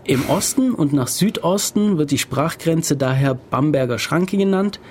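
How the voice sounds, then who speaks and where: Man reading aloud haltingly. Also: a little high, animated, a little mellow, slightly rough. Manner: reads aloud haltingly, stumbles over words. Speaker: podcast host. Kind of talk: casual podcast chat, reading aloud.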